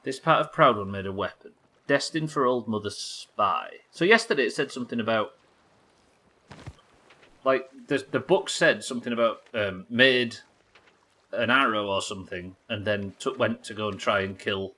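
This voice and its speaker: A man's voice: fairly low pitch, moderate pace, sharp tone. A man doing casual livestream game commentary, thinking aloud, questioning himself and swearing now and then. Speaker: live game streamer.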